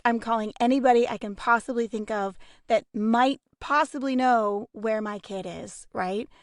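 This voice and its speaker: A woman's voice: fairly high pitch, no room sound, clean studio sound. A woman explaining her approach earnestly in a casual, reflective panel discussion. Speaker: voice actor on a podcast panel.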